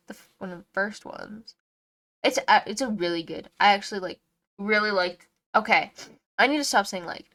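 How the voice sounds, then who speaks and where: A woman's voice: medium pitch, fairly bright tone. A woman game streamer giving casual, sweary and effusive livestream commentary on a visual novel. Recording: music behind the voice.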